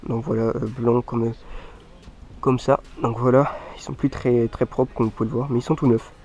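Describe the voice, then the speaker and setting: A man's voice: medium pitch, level tone, normal speed, a little mellow. A man with a head cold and a stuffy voice, chatting casually to viewers as a mountain bike vlogger while showing off his gear. He rambles and leans on the same filler phrases again and again. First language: French.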